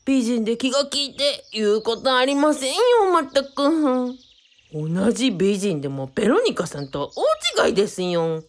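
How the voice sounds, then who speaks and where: A woman's voice: fairly high pitch, animated, moderate pace, lightly acted. A woman playing a fussy, talkative male guru in a radio drama, polite and theatrical with a self-important manner. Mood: admiring. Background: birdsong.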